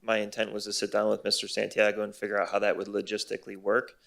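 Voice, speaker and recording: A man's voice: fairly low pitch, completely flat delivery, fast, vocal fry, fairly bright tone. A man, a police detective, speaking hesitantly to a city board. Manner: hesitant, many fillers. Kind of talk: meeting testimony. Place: city board meeting room.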